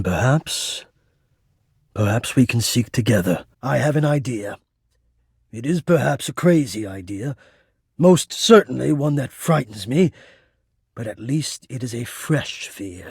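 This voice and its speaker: An actor playing an anxious, lovelorn, poetic spirit of the ductwork in a video game, speaking in a theatrical, florid, halting and earnest way. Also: male voice, medium pitch, vocal fry, a little mellow, husky, dry recording, studio quality, exaggerated voice. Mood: earnest, hesitant hope. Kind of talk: video game character acting.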